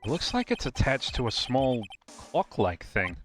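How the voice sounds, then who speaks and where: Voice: mid-range voice, wildly swooping pitch, measured pace. A performer voicing a flustered, questioning young male defense lawyer with a hesitant manner in a live game fan dub. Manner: hesitant, incredulous. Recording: music behind the voice.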